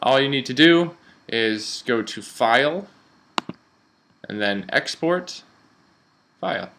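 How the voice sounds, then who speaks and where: A man working as a radio instructor, explaining software step by step in an informal, instructional way. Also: medium pitch, expressive, slow, gravelly, a little hiss or hum.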